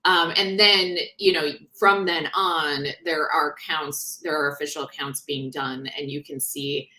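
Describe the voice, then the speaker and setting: A woman author talking in a conversational interview, explaining things in an animated, informal way. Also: sits low, a little slow. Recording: roomy, echoing sound.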